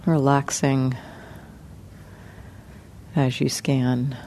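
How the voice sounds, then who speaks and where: A woman teaching guided meditation in a gentle, unhurried manner, leaving long pauses between short phrases. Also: very deep voice, level tone, slight background noise.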